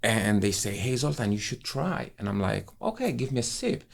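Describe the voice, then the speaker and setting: Deep gravelly-voiced man. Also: very animated, normal speed. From Romania. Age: 30s. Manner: effusive, enthusiastic storytelling with non-native English phrasing. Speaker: guest, wine professional. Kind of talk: casual conversation.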